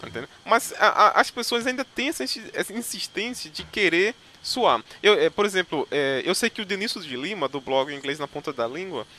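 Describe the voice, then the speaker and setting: A man's voice: high-pitched, animated, fairly quick, hardly any pauses, bright, forceful tone, a little hiss or hum. A man from Northeast Brazil with a Northeastern Brazilian accent, hosting a casual interview and talking animatedly with many fillers and restarts. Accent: Northeastern Brazilian Portuguese. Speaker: podcast host.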